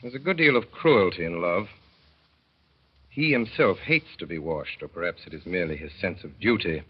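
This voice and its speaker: A man narrating a 1930s radio drama as a father, in a wry, mournful storytelling manner that slows to dwell on sad images. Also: low voice, lively intonation, husky. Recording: dull old radio broadcast.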